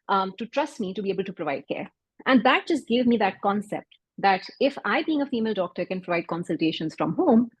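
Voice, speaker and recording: A woman with a Pakistani accent, a doctor and founder whose first language is Urdu, giving an earnest, measured talk at an event with occasional restarts. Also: mid-range voice, breathy edge, no echo, poor audio. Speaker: doctor and health startup founder.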